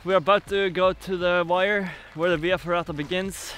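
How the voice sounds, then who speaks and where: A man's voice: high voice, steady tone, moderate pace, fairly bright tone. A young man chatting and joking as he films himself outdoors on a hiking trip, camera held at arm's length. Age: young adult. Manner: upbeat, joking, narrating to camera. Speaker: vlogger. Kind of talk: casual travel vlog chat. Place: outdoors by a fjord and on a mountain.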